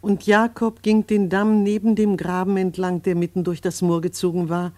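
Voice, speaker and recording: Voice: medium pitch, little pitch movement, moderate pace, a little mellow, dry recording. Solemn narrator in a very dry studio. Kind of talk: solemn scripted radio narration, biblical cadence.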